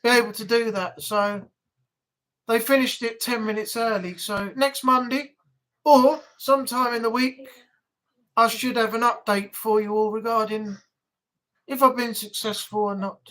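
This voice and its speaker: Brief: woman streamer, easygoing chatty delivery, fairly high pitch. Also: fairly even intonation, normal speed, a bit bright, low-quality recording. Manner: chatty, rambling, with fillers. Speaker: livestream host. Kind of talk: casual live chat to viewers.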